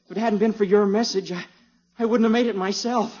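A muffled vintage radio recording of a man playing a young new father. He is earnest and polite, bubbling over with dazed, eager excitement. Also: high-pitched, brisk, lightly acted. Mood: proud, happy. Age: young adult. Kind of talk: 1950s radio situation comedy acting.

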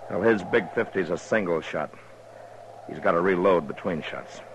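An American actor playing a steady, determined Old West lawman in a 1950s radio western, terse and plainspoken. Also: low voice, moderate pace, muffled recording. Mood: wary, calm. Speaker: narrator and lead actor. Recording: noisy vintage radio recording.

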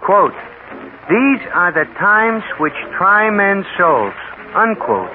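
An American actor playing a chatty, pedantic working-class bartender in a 1940s radio drama, folksy and ungrammatical. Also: male voice, sits high, very animated, slow pace, full character voice. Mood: pompous, chatty. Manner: folksy, self-important, ungrammatical. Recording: narrow, tinny old radio recording with music.

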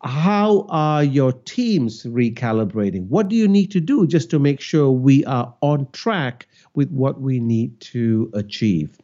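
Man, from Malaysia, podcast host, teaching monologue, mellow voice, slightly muffled audio. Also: medium pitch, slow, hardly any pauses. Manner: animated, teaching tone with rhetorical questions. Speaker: cultural intelligence educator, podcast host. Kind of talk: reflective solo podcast monologue.

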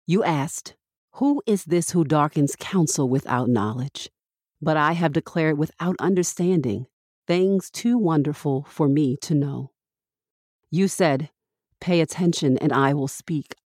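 A woman reading scripture from a script as a podcast host, with measured, deliberate phrasing. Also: sits low, darkish tone, no room sound, studio quality.

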